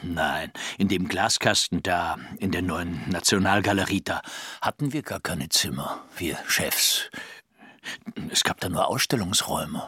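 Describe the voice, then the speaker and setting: Sharp, husky ex-museum chief. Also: male voice, low-pitched, measured pace, no echo, studio quality, exaggerated voice. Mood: objecting. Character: former museum chief correcting the story.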